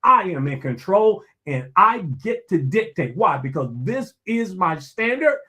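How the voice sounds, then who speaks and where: A man's voice: high voice, big pitch swings, unhurried, pushed and bright. A man giving a motivational talk, preachy and emphatic, repeating key phrases and asking himself questions that he then answers. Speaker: motivational speaker.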